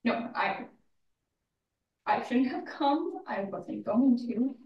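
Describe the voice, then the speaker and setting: Actress as a bitter grieving daughter, higher-pitched, echoey. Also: female voice, animated, slow pace, muffled recording. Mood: hurt, disbelieving. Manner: stammering, blunt, raw. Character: bitter, grieving adult daughter, angry at her mother. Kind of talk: staged play reading, naturalistic drama. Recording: roomy, distant mic in echoey space.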